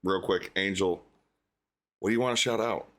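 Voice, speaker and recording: A man's voice: low voice, steady tone, normal speed, fairly bright tone. A man hosting a casual online dating show, rambling loosely with lots of fillers. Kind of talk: casual stream chat.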